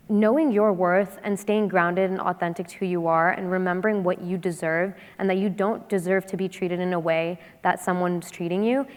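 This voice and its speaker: A woman on a panel speaking from her engineering work, firm and encouraging, in long flowing sentences. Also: fairly low pitch. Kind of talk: panel discussion on stage. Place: large hall stage. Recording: long reverb from a large hall.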